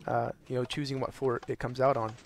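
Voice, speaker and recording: A young man narrating a gaming tutorial while losing his voice, hoarse and tired, rambling with many ums and uhs. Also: little pitch movement, fairly quick, slight background noise. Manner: tired, low energy. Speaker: gaming channel host. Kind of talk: casual tutorial narration over gameplay.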